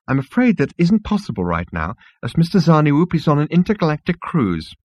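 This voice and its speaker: Casting: fragile insect receptionist, high swooping squeal, prissy and petulant, comic audiobook. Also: male voice, wildly swooping pitch, a little fast, darkish tone, lo-fi sound. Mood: icy, petulant. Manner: prissy, snippy, fussily polite.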